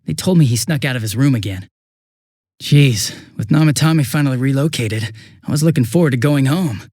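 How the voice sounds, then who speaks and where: A man voicing a young police detective in a video game, affable and easily flustered, with a casual, hesitant and excitable way of talking. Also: mid-range voice, brisk, dry recording, clean studio sound, close to an everyday voice. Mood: puzzled, casual. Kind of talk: English video game voice acting.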